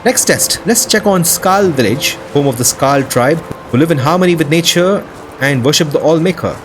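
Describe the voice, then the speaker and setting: A man's voice: a little high, lively intonation, normal speed, slight background noise. A man hosting a video, narrating gameplay in a casual, enthusiastic, lightly scripted way. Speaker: video host. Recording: music behind the voice. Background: background music.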